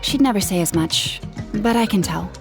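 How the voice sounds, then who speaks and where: A woman's voice: medium pitch, lively intonation, normal speed, lightly acted. A young woman voicing an earnest, friendly gem artisan in video game dialogue, telling her story in a chatty way. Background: game soundtrack music.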